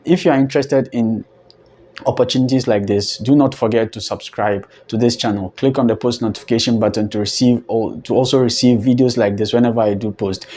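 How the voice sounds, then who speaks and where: A man's voice: medium pitch, fairly even intonation, a little fast, a little mellow. A young man from Ghana hosting his own channel, earnestly walking viewers through funding details step by step in a polite, explanatory way. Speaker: channel host. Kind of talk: scholarship explainer vlog.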